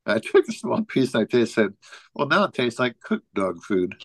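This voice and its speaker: A man's voice: mid-range voice, sing-song, moderate pace, vocal fry, fairly bright tone, no echo, studio quality. A man co-hosting a casual talk show, telling an anecdote in a rambling way with lots of "you know" and frequent chuckles. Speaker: podcast co-host.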